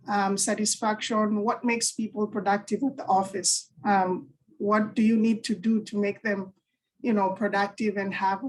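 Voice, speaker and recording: A woman's voice: medium pitch, a little hiss or hum, rough recording. Chatty Kenyan-born woman telling her story. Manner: chatty, laughs between thoughts, frequent ums. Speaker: guest speaker. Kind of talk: storytelling talk on a virtual session.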